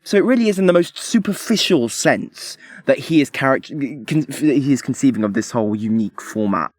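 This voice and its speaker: A man's voice: medium pitch, expressive, brisk, no echo. A man co-hosting a podcast, giving articulate, analytical commentary in a conversational way. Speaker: podcast co-host.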